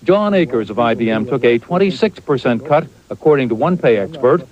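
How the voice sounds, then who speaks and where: A man's voice: medium pitch, animated, fairly quick. A man narrating a news report with wry, punchy phrasing. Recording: muffled old TV broadcast.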